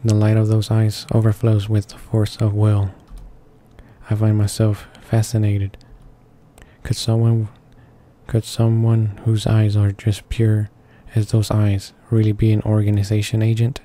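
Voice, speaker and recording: A man's voice: fairly low pitch, completely flat delivery, unhurried, mellow. A man reading visual novel narration aloud for his gaming channel, stumbling over words as he goes. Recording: faint music behind the voice. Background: game music.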